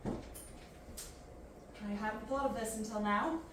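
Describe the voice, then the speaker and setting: A woman's voice: fairly high pitch, steady tone, extremely slow, a bit bright, far from the mic. An actress playing an outspoken, irreverent young woman in a science fiction audio drama, blunt, exasperated and full of questions. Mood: bitter, wry. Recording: very echoey, off-mic, faint noise.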